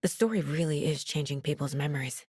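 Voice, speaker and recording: A woman's voice: sits low, fairly quick, a little mellow, husky, no room sound. Serious female agent, dry close recording. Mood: focused, brooding. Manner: serious, matter-of-fact, analytical. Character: determined, focused female FBI agent and worried mother. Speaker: video game protagonist. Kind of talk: naturalistic game voice acting, inner monologue and dialogue.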